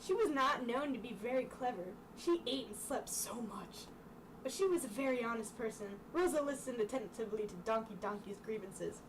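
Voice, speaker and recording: A woman's voice: fairly high pitch, animated, moderate pace. A young woman reads a children's book aloud informally, dropping in casual asides and stumbling over the text. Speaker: storytime reader. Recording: distant mic, clearly noisy room sound.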